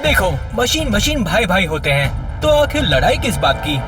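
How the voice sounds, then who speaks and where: A man's voice: high voice, sing-song, moderate pace. A man voicing a heroic, protective talking car robot in a kids' cartoon, declaiming in an emphatic, principled way. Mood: firm, righteous. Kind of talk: Hindi kids' cartoon dubbing. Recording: distant voice under loud music.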